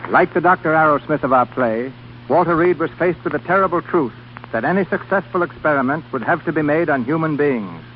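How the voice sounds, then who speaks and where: A man's voice: fairly high pitch, brisk. Formal, dramatic vintage radio host, muffled man. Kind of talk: 1930s radio scripted narration. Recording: dull, noisy vintage radio broadcast.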